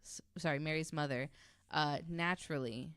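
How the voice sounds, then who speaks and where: A woman's voice: low voice, fairly even intonation, normal speed, clean tone, no echo, studio quality. A woman podcast host telling a story in a casual, conversational way, with wry, informal asides.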